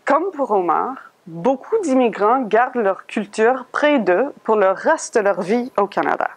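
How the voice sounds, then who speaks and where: A woman's voice: on the high side, animated, unhurried, husky. Woman narrating a museum tour. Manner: reads a prepared script, posing questions. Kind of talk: scripted guided-tour narration.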